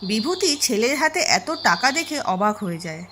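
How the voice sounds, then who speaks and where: A woman's voice: on the high side, big pitch swings, moderate pace, fairly bright tone, slight background noise. A woman narrating a scripted cartoon storybook tale.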